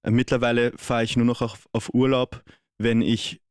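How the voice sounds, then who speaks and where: A man, an actor chatting as a relaxed, joking radio guest with many small hesitations. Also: mid-range voice, moderate pace, no room sound, clean studio sound. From Vienna, Austria. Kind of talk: casual radio interview. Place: radio studio.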